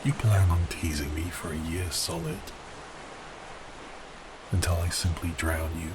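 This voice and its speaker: A colossal cursed sea beast as acted by a man speaks in a deep, low register. He is grandly melancholy and grumbles with theatrical exasperation, an ASMR roleplay figure set against distinct background ambience. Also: sing-song, a little slow, noisy background. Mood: exasperated, bemused.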